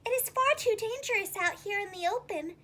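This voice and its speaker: A woman's voice: extremely high pitch, a little fast, bright, forceful tone, voice pushed up. A woman voicing an anxious little striped fish in a storybook, urgent and pleading. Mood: urgent, worried.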